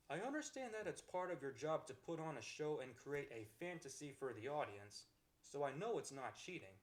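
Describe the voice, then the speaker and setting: A man voicing a kind-hearted, accepting boyfriend in a romantic ASMR roleplay, speaking in a tender, earnest and reassuring way. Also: fairly high pitch. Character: kind-hearted, devoted, accepting boyfriend comforting his girlfriend.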